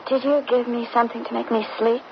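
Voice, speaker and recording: Through heavy hiss on a dull vintage radio recording comes a grieving young daughter, played by an actress. Her voice is high, and she speaks with earnest, dutiful emotion in theatrical radio style. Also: flat delivery, quick pace, lightly acted. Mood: subdued, concerned. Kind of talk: old-time radio drama acting. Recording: dull, very noisy old radio broadcast.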